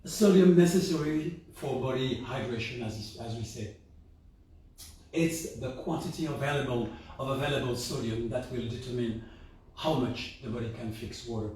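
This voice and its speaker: A man with a raspy, sore-throated voice explains things to viewers in a halting way, often restating his phrases. His pitch is average for a man. He is recorded at a distance from the microphone in a strongly reverberant room. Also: darkish tone, a little hiss or hum. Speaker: host of a health and juicing channel.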